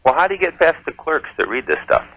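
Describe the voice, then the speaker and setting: A man's voice: fairly high pitch, huge pitch swings, fast, gravelly, no room sound. A man calling in to a radio show, talking casually. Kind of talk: casual phone-in conversation. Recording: dull lo-fi call-in radio tape.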